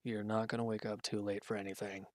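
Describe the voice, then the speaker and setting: A man playing an injured, weary villain in a roleplay audio drama, guarded and quietly considerate, speaking in halting, hesitant lines. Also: little pitch movement, no room sound, studio quality, close to an everyday voice. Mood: weary, reluctant. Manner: hesitant, halting, low-key, grudging. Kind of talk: roleplay audio drama, intimate one-sided dialogue.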